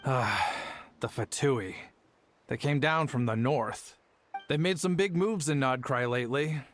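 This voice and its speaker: A man's voice: medium pitch, a little slow, slight background noise, natural voice. A man playing a polite, apologetic merchant and family man in a video game, mild and a little hesitant. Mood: polite, explanatory.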